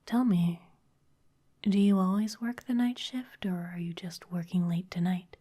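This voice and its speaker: Woman doing a teasing vampire exec, low husky whisper, dark soft tone, right on the mic. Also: measured pace. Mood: smooth, managerial. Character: sly, intimidating female vampire executive. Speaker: ASMR performer. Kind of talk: whispered ASMR roleplay.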